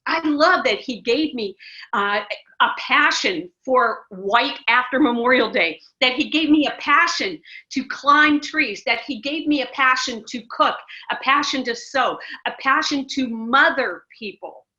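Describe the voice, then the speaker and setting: A woman's voice: high voice, animated, a little slow, bright, forceful tone. A middle-aged American woman, a ministry director, talking emphatically as a guest in an interview, with many restarts. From United States. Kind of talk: casual interview conversation. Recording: muffled lo-fi sound.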